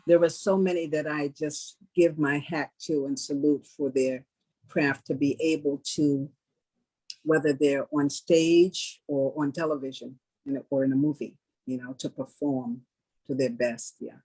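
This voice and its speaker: An older woman speaking as an interviewed actress in a casual panel conversation, laying out her points in a measured, affirming way. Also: low-pitched, steady tone, dark tone, breathy edge, rough recording. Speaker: stage actress interviewed about a play.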